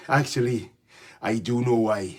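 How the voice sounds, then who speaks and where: A man playing a bitter, flippant, despairing friend in a radio drama, sardonic and world-weary. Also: medium pitch.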